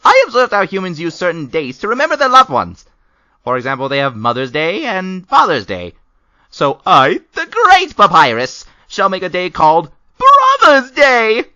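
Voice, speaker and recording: Lady doing a vain excitable skeleton guy, super animated and bright, bit lo-fi, cartoon dub. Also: on the low side, normal speed, no room sound, full character voice. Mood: eager. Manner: grandiose, declamatory, exclamatory. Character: vain, excitable, theatrical male skeleton, childlike and grandiose. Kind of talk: animated comic dub acting.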